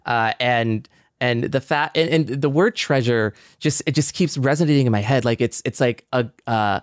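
A man's voice: medium pitch, moderate pace, no room sound, clean studio sound. A man co-hosting a podcast, sharing thoughts conversationally and addressing the listeners. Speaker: podcast co-host. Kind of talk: casual podcast discussion and sign-off.

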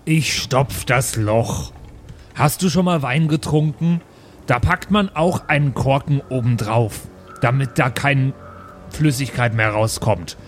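A man's voice: medium pitch, slow pace, soft background music. A man playing a tabletop role-playing game, speaking casually in character with earnest, naive-sounding reasoning.

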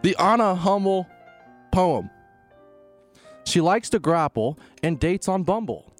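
A man with a fairly high, animated voice reads aloud slowly. He is halting and trips on words, over faint music. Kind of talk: halting amateur poem reading.

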